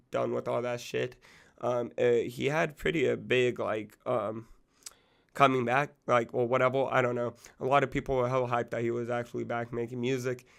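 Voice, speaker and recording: Young man in his twenties, a little worn-down voice from being sick, loose rambling talk, monotone delivery, smooth low rasp. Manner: rambling, loose, full of fillers and profanity. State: recovering from illness, losing his voice. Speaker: music channel host. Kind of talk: casual music commentary.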